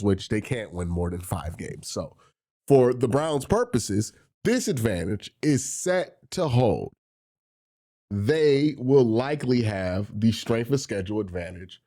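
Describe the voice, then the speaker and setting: A man's voice: lowish voice, warm tone. Congested man explaining football draft odds. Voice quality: nasal, breathy. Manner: explanatory, walks through tiebreaker rules step by step. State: congested from a cold. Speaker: sports channel host. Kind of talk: solo sports talk to camera.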